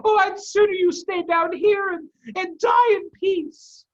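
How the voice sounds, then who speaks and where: Man doing a high, urgent mother fox. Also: steady tone, unhurried. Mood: snapping, fearful. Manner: urgent, snapping. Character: anxious, sharp-tongued mother fox. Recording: dull, lo-fi video-call audio.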